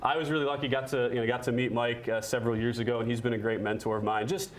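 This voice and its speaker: Young male play-by-play announcer as studio guest. Quick, conversational delivery, mid-range voice. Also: mid-range voice, level tone, slight background noise. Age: young adult. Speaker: radio play-by-play sports announcer. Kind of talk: studio sports interview, conversational.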